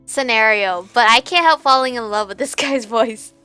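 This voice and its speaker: A young woman giving casual, expressive let's play commentary, chatty and reactive. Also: a little high, normal speed, smooth, faint music behind. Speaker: gaming streamer. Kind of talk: casual let's play commentary with read-aloud game text.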